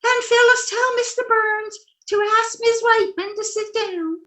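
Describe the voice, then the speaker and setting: Very high, girlish scatterbrained wife. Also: fairly even intonation, measured pace, bright, forceful tone, heavily acted. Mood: breezy, sweet. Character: sweetly naive, scatterbrained wife in a radio comedy. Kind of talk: 1940s radio comedy acting. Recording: roomy, echoing recording.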